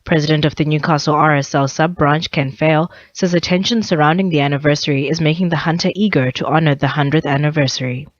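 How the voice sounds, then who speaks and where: A woman's voice: deep voice, steady tone, quick pace, few pauses, no room sound. A woman newsreader delivers a scripted bulletin. The sound is very dry and close, with a slightly dull, lo-fi broadcast tone. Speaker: news reader. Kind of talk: scripted radio news bulletin. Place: radio studio.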